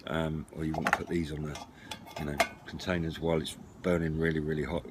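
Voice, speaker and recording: A man's voice: sits low, background noise. A middle-aged British man giving an informal, hesitant gear demonstration to camera, sitting outdoors. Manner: hesitant, halting, explanatory. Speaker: outdoor gear and CB radio vlogger. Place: outdoors at a wooden patio table.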